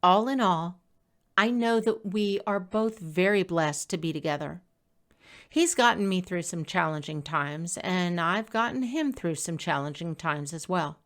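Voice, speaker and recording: A woman reading her own blog post aloud as scripted personal narration, in a conversational, expressive storytelling manner. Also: fairly low pitch, unhurried.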